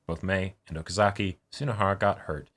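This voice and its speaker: A man with a fairly low, bright voice co-hosts a casual show. He speaks like he is among friends, working out his thoughts as he goes with some halting repeats. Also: fairly even intonation. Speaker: reaction show co-host. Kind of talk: casual chat.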